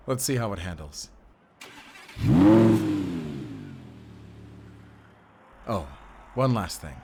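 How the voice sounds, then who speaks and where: Werewolf hunter played by a man, stoic, dry-witted, calm and measured, lowish voice, faint background hiss. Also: close to an everyday voice. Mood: easygoing. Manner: calm, measured, matter-of-fact. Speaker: audio roleplay lead. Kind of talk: cinematic audio roleplay acting.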